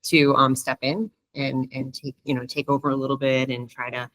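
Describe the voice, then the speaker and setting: Departing board member, female, bright voice, halting and filler-heavy. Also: a bass voice, flat delivery, low-quality recording. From New York. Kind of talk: casual meeting remarks.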